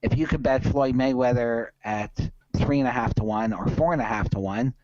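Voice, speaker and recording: A man's voice: medium pitch, moderate pace, darkish tone, no echo. Man, dry and dull-sounding, emphatic podcast talk. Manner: emphatic, opinionated, repeats points for stress. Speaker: sports betting podcast host. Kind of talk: casual betting commentary.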